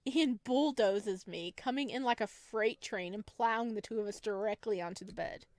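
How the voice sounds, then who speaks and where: Female game narrator, mid-pitched and playful, reading story text expressively in a dry recording. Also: moderate pace, a little mellow, no room sound. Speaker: gamer reading a visual novel aloud.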